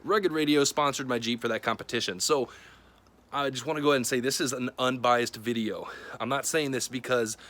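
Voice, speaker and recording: A man's voice: medium pitch, fairly even intonation, a little fast, fairly bright tone. A man hosting a casual product review to camera in an informal, plain-spoken, conversational way. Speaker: video host.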